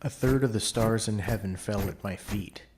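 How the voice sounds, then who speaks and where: A low male voice delivers brooding, declamatory narration for an audio play. He speaks theatrically in the first person. Clear noise with chirping insects sits behind him. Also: normal speed.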